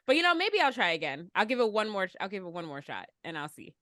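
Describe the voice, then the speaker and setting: A woman's voice: mid-range voice, very animated, quick pace, a bit bright. A woman chatting casually as a podcast co-host, with an informal, giggly manner. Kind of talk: casual chat.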